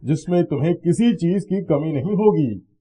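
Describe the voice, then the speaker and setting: A man's voice: fairly high pitch, expressive, normal speed, mellow. A man voicing a kindly, all-knowing magical orange in a cartoon moral story, reassuring and helpful.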